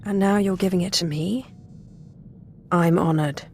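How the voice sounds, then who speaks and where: A woman playing a commanding Sith lord in a video game, poised and measured, teasing with dry flirtation. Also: lowish voice, fairly even intonation, darkish tone, a little hiss or hum. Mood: amused, flirtatious. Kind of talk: video game character acting.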